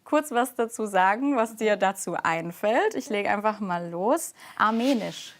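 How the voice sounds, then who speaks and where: A woman's voice: medium pitch, lively intonation, measured pace, a little hiss or hum. A woman hosting a music magazine, asking short questions and closing with a cheerful presenter sign-off. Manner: upbeat, brief prompts and presenter sign-off. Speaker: host.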